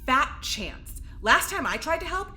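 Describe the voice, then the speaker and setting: Sharp, sullen young woman prisoner. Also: high voice, big pitch swings, a little slow, full character voice. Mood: resentful. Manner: snarky, blunt, impatient. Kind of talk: audio drama acting.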